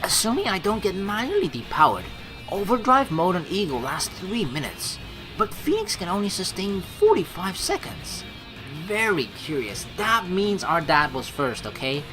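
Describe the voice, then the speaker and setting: A woman playing through a game, reading the story aloud and reacting excitably with casual swearing. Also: fairly low pitch, normal speed, music in the background. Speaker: let's play streamer reading a visual novel aloud.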